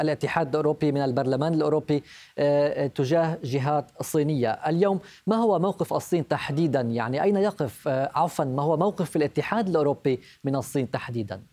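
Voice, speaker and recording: A man's voice: a little high, very fast, mellow. A man in his thirties asking formal news interview questions as a TV presenter in a studio. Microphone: lapel.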